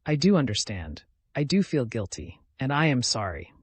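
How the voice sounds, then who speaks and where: A man's voice: mid-range voice, sing-song, moderate pace, slightly rough, no room sound. A man voicing an earnest woman lawyer in an audiobook, remorseful but resolute, reasoning and pleading. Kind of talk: dramatic audiobook character reading.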